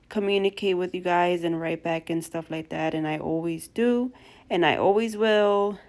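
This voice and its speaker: A young woman with a stuffy nose from allergies, chatting warmly through a DIY craft tutorial and gushing to her viewers. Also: mid-range voice. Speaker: craft vlogger.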